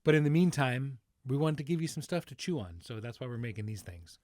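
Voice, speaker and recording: A man with a medium-pitched voice speaks as a podcast host. He addresses listeners informally, and the recording is very dry and close. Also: lively intonation, a little fast, darkish tone, dry recording.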